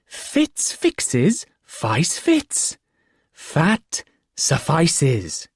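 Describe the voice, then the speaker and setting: A piping, impish court fool. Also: male voice, wildly swooping pitch, slow pace, dry recording, studio quality, full character voice. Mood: exasperated, arch. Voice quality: high piping voice. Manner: singsong, elaborately enunciated. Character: odd pale male court fool, impish and cryptic.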